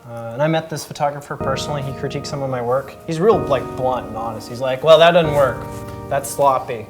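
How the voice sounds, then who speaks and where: Very low-voiced woman chatting casually. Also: brisk. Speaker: host and photographer. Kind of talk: casual chat. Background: faint music.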